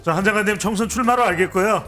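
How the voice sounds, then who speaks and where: Male co-host, brisk and ribbing, high voice. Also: a bit bright, soft background music. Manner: ribbing, rapid-fire questions. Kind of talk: hosting a political talk show.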